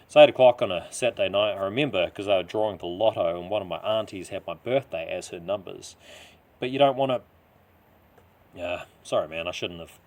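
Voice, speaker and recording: Man voicing rambling New Zealand cabbie, rough-edged. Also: sits low, a little fast, darkish tone. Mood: confiding. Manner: slangy, insistent, confiding. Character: rambling, rough-living male taxi driver with a dark past. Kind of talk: character dialogue in audio story.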